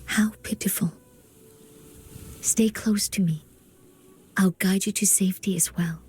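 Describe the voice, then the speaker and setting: A woman playing a gentle, sorrowful healer in a fantasy game, speaking softly and formally, often close to a whisper. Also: mid-range voice, steady tone, a little hiss or hum, lightly acted. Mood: pitying, gentle. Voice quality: soft, breathy, often near whispering. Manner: solemn, measured, formal speech. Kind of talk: scripted fantasy game dialogue.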